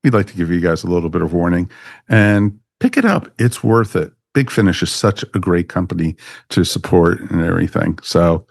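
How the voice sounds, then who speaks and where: Man, low-pitched voice, podcast host, casual rambling fan chat, close dry sound. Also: animated, moderate pace, vocal fry, dry recording.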